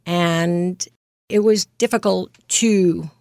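A woman in her fifties from Greece, a nurse practitioner telling her story as an interview guest, earnest and quick to laugh. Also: on the low side, steady tone, a little mellow, no room sound, clean studio sound. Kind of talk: casual interview.

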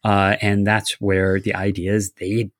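A man's voice: lowish voice, level tone, unhurried, no room sound, clean studio sound. A man talking casually about a fantasy setting, explaining ideas at length with many fillers. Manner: rambling, filler-heavy, explanatory. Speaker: game designer discussing a setting. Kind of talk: casual discussion.

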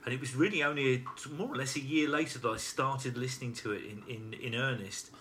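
Man, bright mid-pitched voice, hesitant, faint noise. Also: brisk. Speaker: panelist. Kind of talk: casual music discussion.

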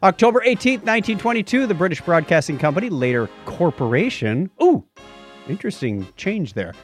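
A man's voice: sits high, sing-song, fairly quick. A man co-hosting a podcast with joking, opinionated banter and casual read-outs, with music behind him. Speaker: podcast co-host. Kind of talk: casual banter and reading out history items.

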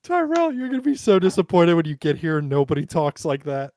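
A man's voice: a little high, big pitch swings, a little fast, darkish tone, no room sound, clean studio sound. An American man co-hosting a podcast in casual, joking chat, listing off sports names. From United States. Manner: joking, rattles off sports lists. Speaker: podcast co-host.

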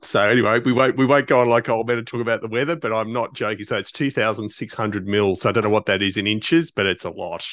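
A man with a fairly low voice hosts a podcast in a conversational style. He sounds appreciative, and the sound is dry, with no room around it. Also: quick pace, few pauses, a bit bright, dry recording. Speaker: podcast host. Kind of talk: casual podcast hosting.

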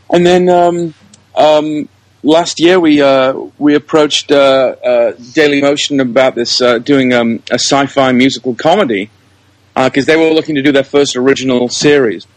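A man telling his story casually as an interview guest, often halting to search for words. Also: medium pitch, dry recording, poor audio. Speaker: interview guest, comedy producer.

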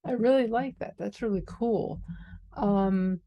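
A woman hosting a podcast interview, friendly and quick to laugh, also reading a sponsor message. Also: mid-range voice, muffled recording. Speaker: podcast host.

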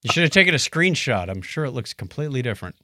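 A man's voice: medium pitch, sing-song, fast talker, bright, forceful tone, no room sound, clean studio sound. A man hosting a podcast in a casual, joking, conversational way. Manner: joking, playful. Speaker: podcast host. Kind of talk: casual co-hosted podcast chat.

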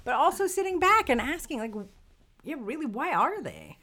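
A woman's voice: high voice, normal speed. A woman co-hosting a casual conversation, thinking aloud and posing questions. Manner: thoughtful, poses questions, lively emphasis. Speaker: podcast co-host.

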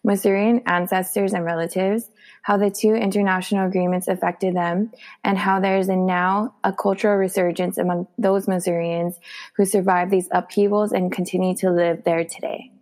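A woman host reads a scripted opening at an even, steady pitch. She sounds composed and prepared. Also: normal speed. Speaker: podcast host. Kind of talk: scripted introduction.

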